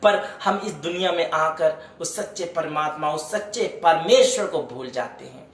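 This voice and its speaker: A man's voice: a little high, moderate pace, a bit bright, roomy sound. A man preaching a devotional sermon, fervently urging his beloved listeners and then praying aloud for healing. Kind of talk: sermon with worship singing and prayer.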